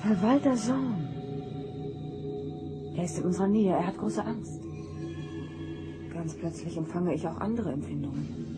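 A woman playing a sensitive, earnest empathic ship's counselor in a radio drama, speaking intently and haltingly, with dramatic weight. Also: fairly low pitch, over loud music, natural voice. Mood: uneasy.

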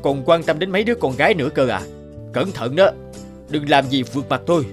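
A man voicing a stern, heavyset village chief who blusters haltingly in an animated folktale. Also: on the high side, faint music behind, natural voice. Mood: suspicious.

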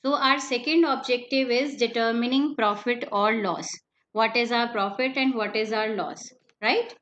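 Woman teacher, higher voice, patient and repetitive lecturing, lo-fi online class mic. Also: fairly even intonation, moderate pace, fairly bright tone. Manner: explains step by step, repeats key points, asks "right?". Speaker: accountancy teacher. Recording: slightly dull lo-fi online class audio with faint noise.

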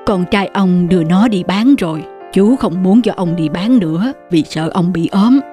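A man's voice: high-pitched, normal speed, mellow, natural voice. A man playing a kindly old candy-selling grandfather. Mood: gentle. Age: older. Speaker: street candy seller. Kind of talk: animated film dubbing. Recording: music behind the voice.

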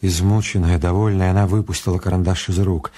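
A man's voice: deep voice, steady tone, a little fast, dark tone. A man giving dramatic scripted narration as the narrator of a radio play.

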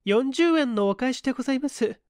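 Audiobook role: young cashier woman, played by a man, high, lively, cutting and stiffly polite. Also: fairly quick, no room sound, clean studio sound. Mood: huffy, sarcastic. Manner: prim, sarcastic politeness. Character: sharp-tongued huffy young cafe cashier woman.

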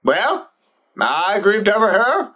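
An actor playing a fussy, sentimental elderly male servant in an audio play, halting and stammering, drawing words out in a pleading wail. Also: medium pitch, big pitch swings, bright, forceful tone, exaggerated voice. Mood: consoling. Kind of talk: theatrical audio play acting.

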